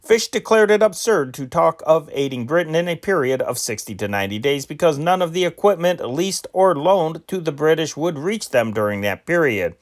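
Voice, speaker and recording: A man reading old newspaper dispatches aloud in an unpolished, measured way, with occasional slips. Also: fairly high pitch, lively intonation. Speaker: news reader.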